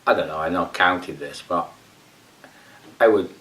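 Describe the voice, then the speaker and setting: A man's voice: low-pitched, a little slow, a bit bright, distant mic, rough recording. A man playing a rambling Brazilian amateur fly tier in a comic tutorial, with a put-on Brazilian accent, broken English and muttered whispered asides. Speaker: fly tying demonstrator.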